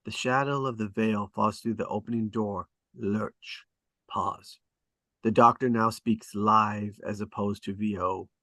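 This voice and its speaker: A man reading stage directions aloud at a script table read, in a deliberate, descriptive way. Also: on the low side, steady tone, sharp tone, dry recording.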